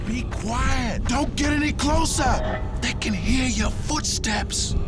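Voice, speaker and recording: A man's voice: very high voice, slow pace, over loud music. A man playing a terrified, foul-mouthed, frantic man in a horror video game. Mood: hushed, fearful. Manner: frantic, profane.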